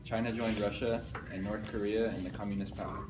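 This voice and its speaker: A man's voice: fairly low pitch, flat delivery, fairly quick, background noise. A young man giving a scripted history report as a news correspondent. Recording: distant mic under clear music.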